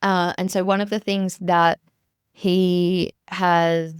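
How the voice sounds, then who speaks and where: A woman's voice: lowish voice, little pitch movement, slow pace, smooth, no room sound. An Australian woman in her thirties talks straight into a close mic, chatty and earnest. She restarts her sentences often and leans on fillers. The sound is dry, with no room around her voice. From rural South Australia. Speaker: podcast host and business coach. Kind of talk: casual solo podcast monologue.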